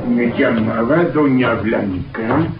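A man's voice: mid-range voice, takes it slowly, loud music behind the voice. Deferential village man, muffled recording. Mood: respectful unease. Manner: hesitant, respectful, repeats himself. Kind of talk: old radio drama acting. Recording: dull noisy old radio recording.

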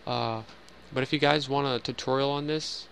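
A man's voice: medium pitch, fairly even intonation, moderate pace, creaky, a bit bright, a little hiss or hum. A young man casually narrating a game house tour in voice-over, rambling with fillers and joking at himself. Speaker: Minecraft builder giving a house tour.

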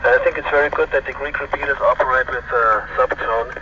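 A man's voice: medium pitch, steady tone, a little fast. German man over very noisy radio. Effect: two-way radio transmission. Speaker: amateur radio operator. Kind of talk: ham radio contact in English. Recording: dull distant radio audio, loud noise.

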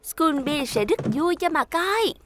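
A woman's voice: high voice, animated, takes it slowly, noisy background. A woman dubbing an eager, helpful female cartoon rescue vehicle in a chatty manner. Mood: eager, friendly. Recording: studio dub with music behind.

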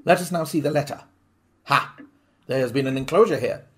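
A man voicing a sharp, analytical Victorian detective who speaks in a crisp, deliberate way. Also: medium pitch. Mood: explaining. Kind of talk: audiobook reading.

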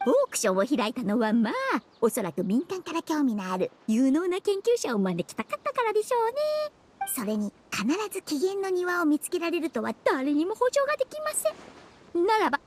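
A woman voicing a small, greedy girl merchant in an anime game, with affectedly polite, ladylike sales patter. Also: high-pitched. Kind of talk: Japanese anime game voice acting. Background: background music.

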